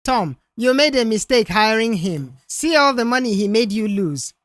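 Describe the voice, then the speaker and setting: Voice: medium pitch, expressive, moderate pace, fairly bright tone, no echo, studio quality, pitched above the performer's own voice, lightly acted. A narrator voicing a brash, scornful middle-aged mother who scolds dramatically in an audiobook. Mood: scornful, triumphant.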